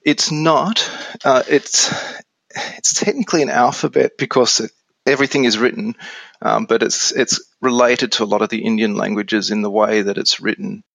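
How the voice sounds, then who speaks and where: An Australian man in his forties explaining things casually as an interview guest on software. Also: fairly low pitch, steady tone, moderate pace, creaky, no room sound. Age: 40s.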